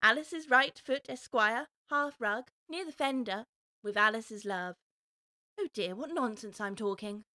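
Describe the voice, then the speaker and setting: An actress playing a young girl in an audiobook, polite and easily upset, earnestly questioning herself out loud. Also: fairly high pitch, measured pace, no echo, clean studio sound. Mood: whimsical musing. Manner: earnest self-questioning, talks to herself aloud. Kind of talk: full-cast audiobook storybook acting.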